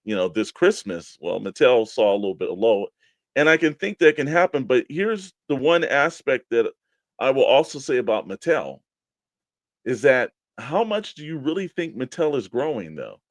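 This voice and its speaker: A man's voice: medium pitch, big pitch swings, normal speed, no echo. A man co-hosting a casual talk show, chatting about toy collecting.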